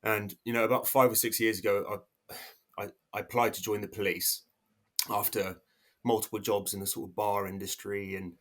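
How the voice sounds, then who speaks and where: Low-voiced man in his thirties, halting. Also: flat delivery, a bit bright. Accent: English. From South Africa. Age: 30s. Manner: hesitant, many restarts and fillers. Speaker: podcast guest. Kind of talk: casual interview talk.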